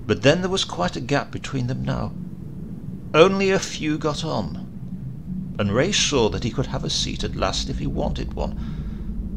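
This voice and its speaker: A man's voice: medium pitch, gravelly, noisy background. A man giving a dramatic narration of a horror story as an audiobook reader.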